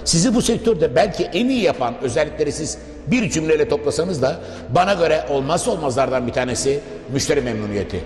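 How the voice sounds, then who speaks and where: Man, TV host, formal wordy delivery, rapid pace, reverberant room, promotional interview. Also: fairly high pitch, lively intonation, a little mellow. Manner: wordy, formal, addresses viewers and guest politely. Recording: echoey reverberant room, music behind. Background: background music.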